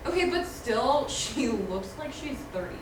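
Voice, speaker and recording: A woman's voice: fairly high pitch, sing-song, a little slow. Casting: actress as jumpy, suspicious young female student, screenplay read, recorded far off in a roomy space. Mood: distracted. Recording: distant, echoey room with background noise.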